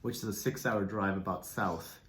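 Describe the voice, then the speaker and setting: A man's voice: low-pitched, normal speed, fairly bright tone. A young man from Montreal, in his twenties, chatting loosely and cracking jokes as an interview guest. His voice has an echoey, roomy ring to it. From Montreal, Canada. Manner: joking, laughs easily. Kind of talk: casual interview chat. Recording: echoey room.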